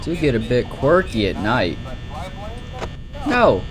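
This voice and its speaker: A man's voice: medium pitch, very animated, slow, a crowd in the background. A young man giving casual, rambling live commentary on a horror game, nervous and exclamatory, with some swearing. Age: young adult. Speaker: gaming streamer.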